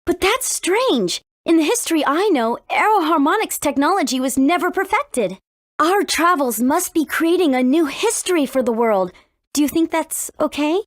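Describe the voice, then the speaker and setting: A woman playing a spirited, earnest young heroine in a video game, with an expressive, questioning delivery. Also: high voice, normal speed, dry recording, clean studio sound. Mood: puzzled. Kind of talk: English video game voice acting.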